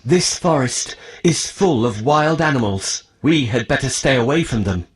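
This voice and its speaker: A man's voice: medium pitch, animated, moderate pace, close to an everyday voice. A man voicing an earnest, determined young prince in a children's storybook, with careful, declarative enunciation. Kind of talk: scripted children's storybook acting.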